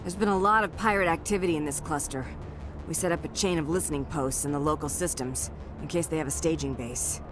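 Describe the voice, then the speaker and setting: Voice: mid-range voice, brisk, over music, close to an everyday voice. An actor voicing a battle-weary, gritty soldier lieutenant in a video game, with blunt, clipped military talk. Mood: grim, matter-of-fact.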